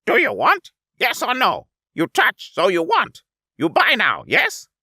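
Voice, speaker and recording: A man voicing small, stumpy alien traders who push a sale in broken, shouted speech. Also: sits high, wildly swooping pitch, measured pace, breathy edge, no echo, studio quality, voice pushed up, heavily acted. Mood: pushy. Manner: broken pushy sales talk, shouting.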